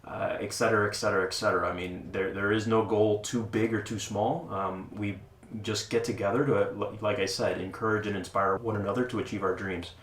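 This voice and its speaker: A man talking casually as an interview guest about the club he runs, relaxed and joking, with lots of restarts and fillers. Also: fairly low pitch, a little fast. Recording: echoey room with faint noise.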